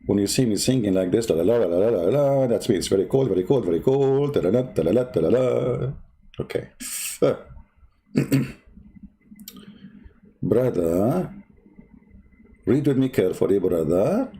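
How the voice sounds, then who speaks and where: A man's voice: fairly low pitch, animated, normal speed, long pauses, dark tone. A man hosting a polemical commentary, mocking and insulting in an emphatic way, who sometimes hums to himself. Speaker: debate host. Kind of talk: polemical commentary over a video.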